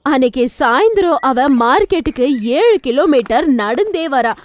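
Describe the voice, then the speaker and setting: A woman's voice: high-pitched, expressive, normal speed. A woman narrating a scripted cartoon moral story as its storyteller.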